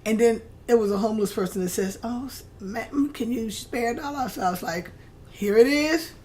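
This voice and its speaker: A woman's voice: on the high side, moderate pace, darkish tone, a little hiss or hum. An American woman chatting casually as a conversation partner. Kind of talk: casual chat.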